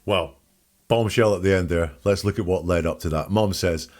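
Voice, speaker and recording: Low voiced guy hosting, blunt opinionated off the cuff commentary. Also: normal speed. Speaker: host analysing a recorded call. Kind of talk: informal spoken commentary.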